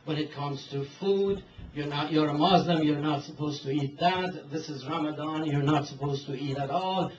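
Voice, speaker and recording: A man telling a story and then putting a question to a speaker, halting with many fillers and restarts. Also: on the high side. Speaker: interviewer. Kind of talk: hesitant spoken question to a speaker. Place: event hall. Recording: distant mic, dull, roomy hall with hiss.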